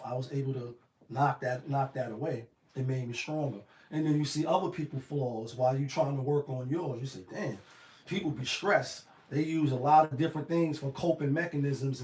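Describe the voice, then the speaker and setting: A man with a slightly raspy voice giving a casual, rambling motivational talk full of slang and "you know what I mean.". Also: a little high, darkish tone, roomy sound, low-quality recording. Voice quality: a little raspy. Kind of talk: casual motivational monologue to camera.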